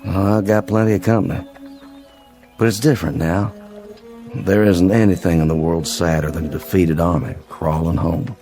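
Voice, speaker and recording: A gravelly, deep-voiced weary Southern soldier. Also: male voice, steady tone, mellow, soft background music. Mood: resigned, wistful. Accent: American South. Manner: folksy, slow to complain, plain-spoken. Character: weary, courteous wounded Confederate sergeant walking home from war. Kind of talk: radio drama acting.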